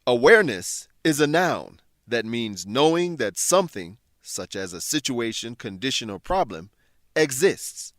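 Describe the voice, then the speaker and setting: A man hosting and narrating a scripted language lesson, explaining things in a clear, measured teacher's manner. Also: mid-range voice, big pitch swings, fairly bright tone, no echo, clean studio sound. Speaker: host and English teacher.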